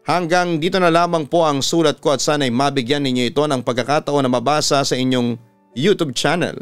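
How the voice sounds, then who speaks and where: A man's voice: mid-range voice, smooth, no echo. Filipino man reading letters over music. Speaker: radio announcer and story channel host. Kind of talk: dramatic reading of listener letters. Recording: music behind the voice. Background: background music.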